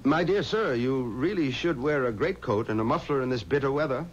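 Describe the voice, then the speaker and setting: A stubborn, skeptical undertaker played by a man in a vintage radio mystery. He is proper yet commanding, with a very lively pitch. The old recording is dull, with some noise. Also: fairly quick, close to an everyday voice. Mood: courteous, firm. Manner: courteous but commanding. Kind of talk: 1970s radio mystery acting.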